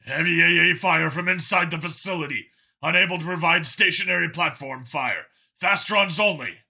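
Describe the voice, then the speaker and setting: A man's voice: a little high, normal speed, vocal fry, pushed and bright, exaggerated voice. A man voicing an urgent military pilot in a sci-fi audiobook, barking clipped callouts. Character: urgent military pilot calling out over comms. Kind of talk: dramatised science fiction audiobook.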